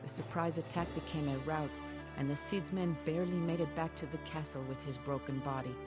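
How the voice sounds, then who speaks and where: Woman acting grieving proud spanish noblewoman, game narration, measured and dramatic, music behind her. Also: deep voice, fairly even intonation, natural voice. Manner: solemn, measured, theatrical. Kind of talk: dramatic scripted cutscene narration.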